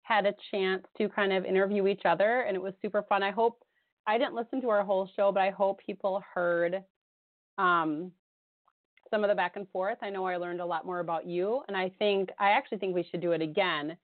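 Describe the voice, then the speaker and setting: Hoarse chatty woman on a phone line. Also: mid-range voice, fairly even intonation, fairly quick, dry recording. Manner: chatty, rambling, laughs often. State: hoarse from a cold. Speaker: radio show co-host. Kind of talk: casual radio chat. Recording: tinny phone-line sound.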